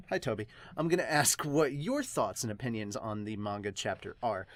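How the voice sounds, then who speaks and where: An excitable podcast host chatting casually, in the manner of a vlog, with lots of exclamations. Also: mid-range voice, no echo, studio quality. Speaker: host of a reaction and dubbing podcast.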